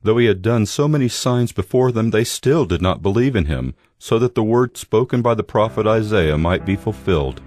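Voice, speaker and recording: A man narrating a Bible audio drama in a measured, solemn storytelling style. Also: lowish voice, lively intonation, darkish tone, dry recording. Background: music.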